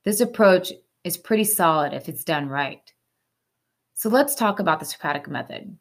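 A woman's voice: fairly low pitch, animated, moderate pace. A woman hosting a segment, reading scripted explainer narration over music. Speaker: host.

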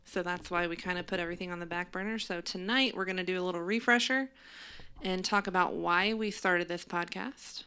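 A woman's voice: on the low side, fairly quick, bright, forceful tone, smooth. A young woman co-hosting a podcast in casual chat, talking with fillers and some swearing, recorded in a home studio. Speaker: podcast co-host.

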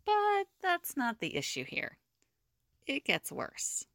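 An actress playing a calm, serious, sensible seer in an audio drama, measured and earnest. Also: female voice, high-pitched, no echo, clean studio sound, exaggerated voice.

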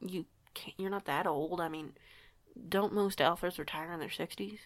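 A woman's voice: on the low side, moderate pace, no echo, lightly acted. A woman playing a curious, stubborn teenage werewolf girl in an audio roleplay, with a chatty, rambling, self-correcting way of talking. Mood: awkward, admiring. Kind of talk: audio roleplay acting, casual conversation.

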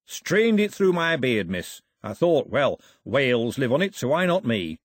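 A man acts a scruffy storybook ship's cook, cheerful and humble. His pitch hops up and down, and the voice is a touch husky. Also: very animated, unhurried. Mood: matter-of-fact. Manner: humble, chirpy, rough grammar.

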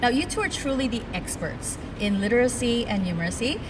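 A woman hosting a podcast interview in person at a theater, reading a scripted intro and then chatting with a warm manner and frequent laughs. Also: fairly high pitch, sing-song, a little fast, recorded from a distance, background noise. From immigrated to the US at ten. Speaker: podcast host. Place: event theater, recorded in person.

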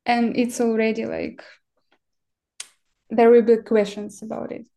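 A young woman from Crimea speaking English, answering interview questions haltingly and pausing often to find words. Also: a little high, little pitch movement, gravelly, mellow. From Crimea, Ukraine. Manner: halting, searching for words with many fillers. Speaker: activist guest. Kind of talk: interview answers in a second language.

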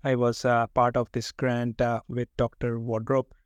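Monotone man from India. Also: medium pitch, measured pace, no room sound. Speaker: resident guest. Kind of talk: podcast self-introduction.

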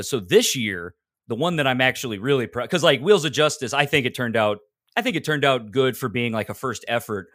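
A man's voice: mid-range voice, fairly bright tone, no echo, clean studio sound. An American man chatting casually as a podcast guest, rambling a little with self-deprecating humor. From Texas, United States. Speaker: podcaster and audio drama maker, guest. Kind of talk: casual interview chat.

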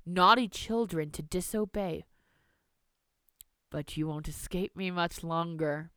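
A woman's voice: lowish voice, slow, fairly bright tone, no echo. Storybook villain: cruel veiled female night spirit played by a woman, hissing and menacing, dry close sound. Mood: cold rage.

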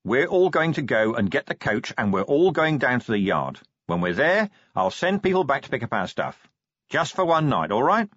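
Man playing a brusque, husky police commander. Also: mid-range voice, very animated, moderate pace, a bit bright, no room sound, highs cut off, voice pushed up. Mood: firm, decisive. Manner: blunt, decisive.

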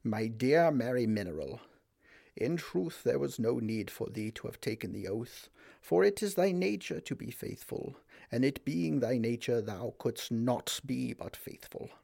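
A frail, dying old king who is also a mighty magician, as a man reads him aloud, speaking slow and solemn to his daughter. His words come gently and tenderly, at a measured, unhurried pace. The sound is dry and close. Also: mid-range voice, expressive, vocal fry, no room sound.

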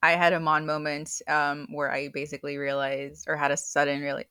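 A woman's voice: low voice, flat delivery, a little fast, pushed and bright, clear, resonant tone, dry recording, studio quality. A woman hosting a podcast, asking questions in a loose, chatty way that wanders off on tangents. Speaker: podcast host. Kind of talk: casual interview chat.

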